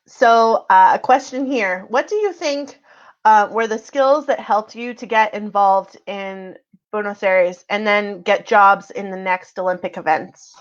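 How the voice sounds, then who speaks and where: A woman from Canada hosting an interview, asking questions attentively with soft fillers. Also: mid-range voice, a little slow, muffled recording. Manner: attentive, fills with um and mm-hmm. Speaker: interview host. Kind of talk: conversational interview.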